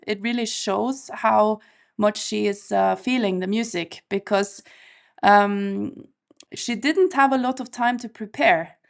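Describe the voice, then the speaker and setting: A woman folk musician answering interview questions in an unhurried, thoughtful way with frequent ums. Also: mid-range voice.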